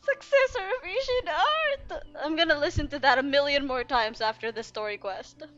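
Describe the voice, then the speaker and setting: A woman's voice: high-pitched, normal speed, muffled recording, faint music behind. A woman gamer reacting casually and emotionally to a story as she plays. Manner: emotional, excitable. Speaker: gaming YouTuber. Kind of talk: casual live reaction to game dialogue.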